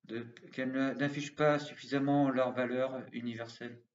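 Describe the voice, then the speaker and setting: A man's voice: medium pitch, little pitch movement, normal speed. A man making an earnest, impassioned appeal straight to viewers, repeating slogans. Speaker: commentator. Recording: roomy, distant, dull sound.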